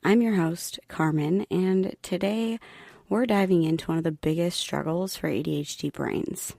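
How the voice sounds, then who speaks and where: A middle-aged woman hosting her own podcast, talking in a calm, steady monotone. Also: on the low side, normal speed, creaky, no room sound, clean studio sound. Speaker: podcast host. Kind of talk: solo podcast talk. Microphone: home podcast mic.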